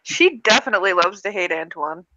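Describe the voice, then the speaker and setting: A woman's voice: lowish voice, expressive, a little fast, pushed and bright, dry recording, muffled recording. A woman chatting casually and teasingly in a group call on a live stream.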